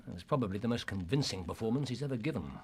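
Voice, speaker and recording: An actor playing a shrewd, relentless detective in a radio play, incisive and dramatic, with a husky voice. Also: male voice, fairly low pitch, expressive, rapid-fire. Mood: reflective, assured.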